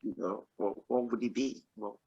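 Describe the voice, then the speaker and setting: A man's voice: lowish voice, fairly even intonation, moderate pace, vocal fry, breathy edge, highs cut off. A man hosting a radio interview, asking loose rambling questions and reacting to the answers. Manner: rambling, restarts questions, warm laughs. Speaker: radio show host. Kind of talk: casual interview chat.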